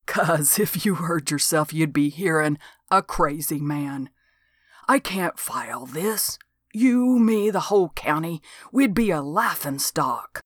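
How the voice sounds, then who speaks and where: Woman doing a gruff small-town lawman. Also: a little high, expressive, slow pace, fairly bright tone, no room sound, studio quality, heavily acted. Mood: exasperated. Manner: exasperated, folksy drawl.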